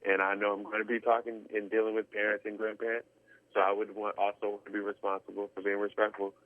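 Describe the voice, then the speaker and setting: A man's voice: on the low side, monotone, fast talker. A man, talking earnestly in an interview, rambling with many restarts and fillers. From Texas. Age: 20s. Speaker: guest drummer. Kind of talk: casual interview chat. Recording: tinny phone line.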